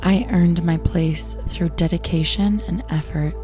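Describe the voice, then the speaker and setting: A young woman with a raspy voice, hosting a show and reading affirmations slowly and soothingly. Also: fairly low pitch, fairly even intonation. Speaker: affirmation and meditation host. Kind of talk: guided affirmations. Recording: clear music bed behind voice.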